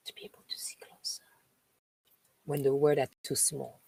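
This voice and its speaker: A woman from France with a French accent, a spiritual host reading a text aloud and stopping for chatty asides, with slow, emphatic delivery. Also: sits low, wildly swooping pitch, vocal fry, dark tone, husky, dry recording. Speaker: spiritual live-stream host. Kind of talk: reading aloud with spoken commentary.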